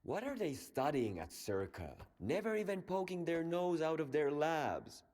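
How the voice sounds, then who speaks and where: A man's voice: fairly high pitch, big pitch swings, measured pace, a little mellow. An actor playing a scornful, grumbling male stalker in a video game, muttering with disdain.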